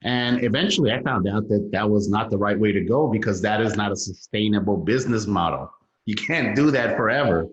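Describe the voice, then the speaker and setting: A man's voice: fairly low pitch, fairly quick. A man from south Los Angeles, whose was not English, speaking as a podcast guest, candid and emphatic, swearing freely. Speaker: guest, real estate investor. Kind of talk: interview, telling his story. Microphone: over a phone line. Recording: dull lo-fi line with room echo.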